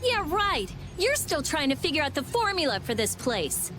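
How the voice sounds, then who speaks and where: A woman voicing a sharp-tongued teenage girl genius, brusque and skeptical, in a video game cutscene. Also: high-pitched, big pitch swings, moderate pace, slight background noise. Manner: brusque, matter-of-fact, skeptical.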